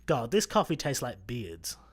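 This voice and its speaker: A man's voice: mid-range voice, very animated, measured pace. An actor with a Scottish accent playing a cranky but easygoing stowaway space postman in a sci-fi comedy radio play. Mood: laid-back, evasive.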